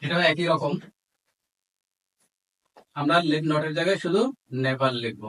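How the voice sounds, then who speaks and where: A man's voice: a little high, a little slow, a bit bright, low-quality recording. A man with a Bangladeshi accent giving an instructional grammar lesson as a teacher, methodically dictating examples word by word. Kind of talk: instructional lesson mixing Bengali explanation with English examples.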